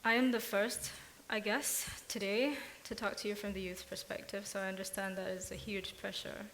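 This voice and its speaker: A woman's voice: mid-range voice, level tone, normal speed, fairly bright tone, a little hiss or hum. A young woman from Singapore speaking earnestly and directly as a youth voice on a conference panel. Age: young adult.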